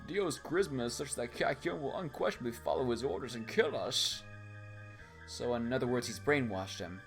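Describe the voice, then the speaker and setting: A man's voice: mid-range voice, normal speed. A young man reads narration for a homemade comedy dub in an everyday mid-range voice. He keeps stopping to joke and riff on the text. Faint background music plays under him. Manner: jokey, self-interrupting, riffs between lines. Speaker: fan dub narrator and voice actor.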